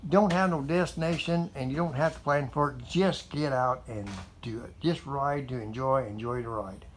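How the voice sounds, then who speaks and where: A man's voice: medium pitch, moderate pace, a little hiss or hum. Folksy older man rambling in a garage. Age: 70s. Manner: folksy, meandering, repeats himself with fillers. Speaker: motorcycle vlogger. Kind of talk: rambling casual monologue to camera. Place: metal carport garage, indoors.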